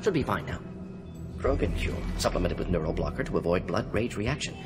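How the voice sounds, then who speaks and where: A man playing a brisk, brainy alien scientist-doctor in a video game, muttering through deductions in clipped fragments. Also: low-pitched, animated. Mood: analytical, curious. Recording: game audio, reverberant, music behind.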